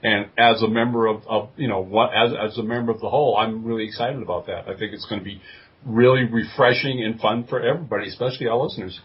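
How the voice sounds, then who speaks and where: Husky man chatting as podcast co-host. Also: fairly low pitch, fairly even intonation, quick pace. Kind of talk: casual podcast chat. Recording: dull, lo-fi podcast audio.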